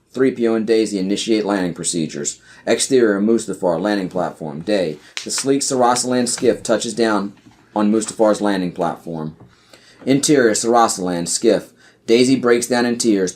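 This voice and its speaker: Low-voiced man reading script directions. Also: darkish tone. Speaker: narrator. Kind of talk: scripted narration, screenplay stage directions.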